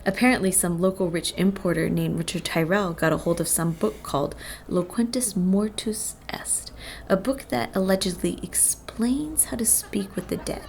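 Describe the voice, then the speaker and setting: A woman's voice: medium pitch, normal speed, vocal fry, a little mellow, a little hiss or hum, close to an everyday voice. A woman playing a young college student of the occult, narrating her story in a wry, world-weary, confiding way for an audio drama. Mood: intrigued. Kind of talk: first-person scripted audio drama narration.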